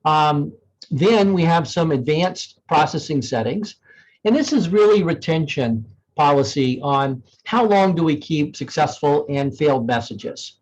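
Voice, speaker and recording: A man presenting an informal software demo in a conversational, explanatory way, thinking aloud as he goes. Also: a little high, expressive, a little slow, dull audio. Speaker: software demonstrator.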